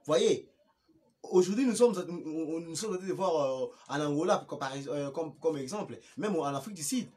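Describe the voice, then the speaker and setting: High-pitched Congolese man, emphatic and halting. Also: animated, darkish tone. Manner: emphatic, repetitive, halting with stammered restarts. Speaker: political party activist and commentator. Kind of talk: impromptu political commentary.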